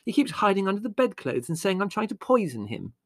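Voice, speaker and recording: A man voicing an excitable, despairing sister in a storybook reading, plaintive and melodramatic. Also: sits high, fast, no room sound, studio quality, pitched above the performer's own voice, heavily acted. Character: overdramatic, despairing, excitable girl, the family's sister. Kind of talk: acted audiobook character dialogue.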